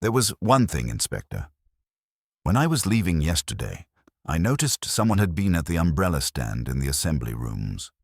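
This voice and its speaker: A man's voice: extremely low pitch, sing-song, moderate pace, gravelly, dry recording, studio quality, heavily acted. A man voicing an observant, courteous council works foreman in a story, precise, deferential and formal. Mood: helpful, precise.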